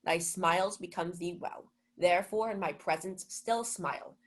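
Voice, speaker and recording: Young woman doing a puffed-up gullible steward in a shakespeare radio play, lowish female voice, musing grandly to himself. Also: moderate pace, close to an everyday voice. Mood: elated. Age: young adult. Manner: theatrical, self-important, musing aloud. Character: pompous, self-deluded male steward, gullible and lovestruck. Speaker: student actor. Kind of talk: Shakespeare comedy as 1940s-style radio play.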